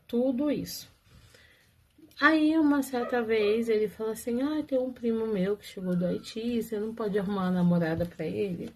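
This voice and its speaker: A woman's voice: fairly high pitch, normal speed, mellow. A woman telling viewers her own love story in a casual, chatty way, often laughing and talking straight to them.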